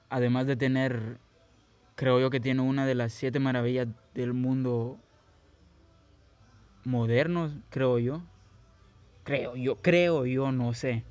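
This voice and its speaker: A man's voice: medium pitch, a little mellow, muffled recording. A young Guatemalan man telling his life story in a casual, rambling way, with many restarts. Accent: Guatemalan Spanish. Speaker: podcast host.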